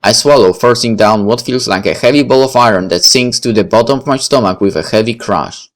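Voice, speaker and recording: A man's voice: mid-range voice, brisk. A man narrating a visual novel as he plays, reading the story aloud.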